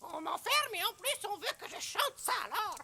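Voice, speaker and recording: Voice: extremely high pitch, very animated, moderate pace, pushed and bright, slight background noise, exaggerated voice. An actor voicing a vain, melodramatic talking songbird in a French cartoon dub. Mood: indignant. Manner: melodramatic, pompous. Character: talking songbird, vain, theatrical, claustrophobic whiner.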